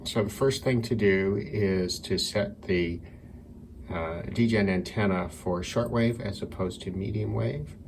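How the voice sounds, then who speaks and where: A man with a very low voice talks through a hobby demonstration in a casual way. He takes his time and thinks aloud as he works. Clearly audible noise and static sit behind his voice in the room. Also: unhurried. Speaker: radio hobbyist presenter. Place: indoors by a window.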